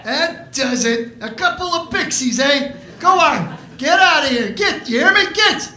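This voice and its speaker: A man playing a tough, impatient bartender boss in a 1940s-style radio play, gruff and curt. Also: very high voice, animated, a little slow, sharp tone, roomy sound, heavily acted. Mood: irritated, threatening. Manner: gruff, curt, wise-guy barking. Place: indoor stage performance.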